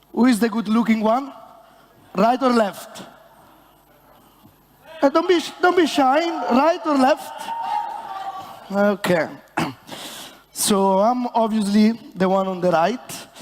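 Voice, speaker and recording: A man with an Italian accent, a bartender presenting on stage in a joking, halting way with many fillers. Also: sits high. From Italy. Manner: halting and playful, many fillers, self-mocking banter. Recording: long hall reverb.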